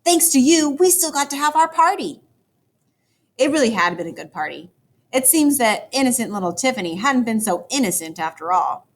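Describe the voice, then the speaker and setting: Expressive woman reading a children's book aloud. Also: mid-range voice. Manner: expressive, dramatic emphasis for young listeners. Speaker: fifth grade teacher reading aloud.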